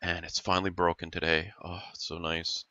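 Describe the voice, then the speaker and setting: A man's voice: low voice, level tone, a little fast, pushed and bright, muffled recording. A man hosting a gaming channel, casually narrating his gameplay while stuffed up and growly, thinking aloud and correcting himself as he goes. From Canada. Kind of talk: casual let's-play commentary.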